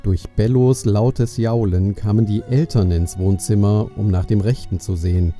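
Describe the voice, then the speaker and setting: A man's voice: on the low side, fairly even intonation, normal speed, mellow, soft background music. A man narrating a scripted children's story as the storyteller of an audio play.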